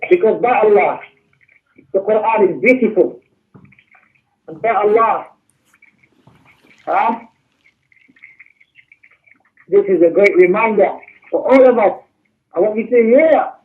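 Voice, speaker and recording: A man teaching an Islamic lesson in an emphatic, exhortatory manner, mixing explanation with recitation, on a muffled recording. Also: high-pitched. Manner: emphatic, exhortatory, with rhetorical questions. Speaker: religious teacher. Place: mosque class.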